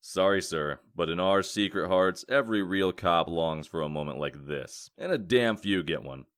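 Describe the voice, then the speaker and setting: A man playing a gruff, dry detective cop in a comic dub, speaking in a measured, wry way. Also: sits low, fairly bright tone, dry recording. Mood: assured, showman-like. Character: gruff male wolf detective cop, dry, theatrical about revealing culprits.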